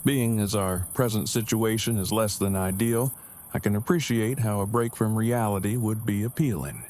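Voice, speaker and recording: A man's voice: on the low side, moderate pace, a little mellow, slight background noise, close to an everyday voice. A man acting an awkward, earnest survivor in an audio drama, speaking in formal, wordy, stilted phrases. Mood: matter-of-fact. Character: awkward, earnest, verbose male survivor in a zombie apocalypse.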